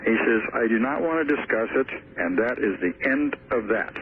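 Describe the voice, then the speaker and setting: A man's voice: mid-range voice, animated, normal speed. A man phoning a radio talk show, casually asking questions and telling anecdotes as a caller. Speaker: phone-in caller. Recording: narrow, noisy phone line to radio.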